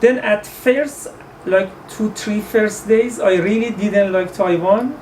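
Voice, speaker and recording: An Iranian-accented man in his thirties talks as a guest in a relaxed interview. He pauses often, hunts for words and restarts his sentences. He sits a little back from the mic, with a faint hiss of noise behind him. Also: high-pitched, recorded from a distance, rough recording. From Iran. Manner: halting, searching for words with many fillers and restarts. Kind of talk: casual interview in English.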